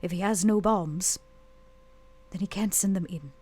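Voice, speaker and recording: A woman roleplaying a proud, guarded, bitter vampire woman in a tabletop game, speaking curtly and deliberately with intense pauses. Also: mid-range voice, a little mellow, natural voice. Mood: determined, grim.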